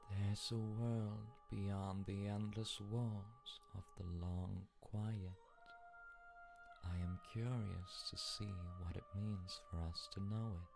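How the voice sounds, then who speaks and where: A man playing a solemn, grave narrator of a fantasy game in measured, portentous, poetic delivery with a deep gravelly voice. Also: fairly even intonation, music in the background. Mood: grave, hushed. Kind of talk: slow dramatic scripted narration. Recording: noticeable background noise.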